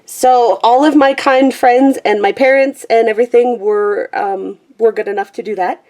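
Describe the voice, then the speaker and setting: A woman's voice: a little high, steady tone, normal speed, a little mellow. A woman in a small room at home, chatting casually and rambling on camera, with a self-deprecating manner, trailing off with fillers and guessing at names as she goes. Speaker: vlogger showing her colouring book collection. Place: small room at home with a pet squeaking nearby.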